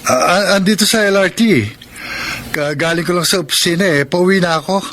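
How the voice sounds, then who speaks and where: A man's voice: high-pitched, fairly quick, slight background noise. An actor playing an earnest, lovestruck young office man in a romantic radio drama. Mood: hesitant, sheepish. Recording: radio drama with loud music bed.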